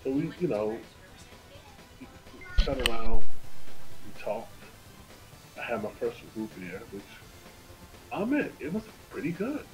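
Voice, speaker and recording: A man livestreaming games, talking in a casual, rambling and somewhat halting, apologetic way. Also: medium pitch, sing-song, music in the background, rough recording. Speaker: game livestreamer. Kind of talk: casual rambling stream chat.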